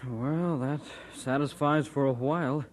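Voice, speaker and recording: An actor playing a worried church parishioner in a radio drama, talking in a halting, formal, uneasy way. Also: male voice, mid-range voice, close to an everyday voice. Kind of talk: old-time radio drama acting. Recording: noisy vintage radio transcription.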